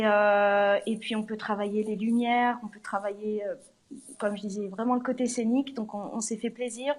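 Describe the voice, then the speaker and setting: Woman singer, guest interview at a venue; slightly high, hesitant, many fillers. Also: darkish tone. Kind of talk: live interview on location. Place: arts centre venue.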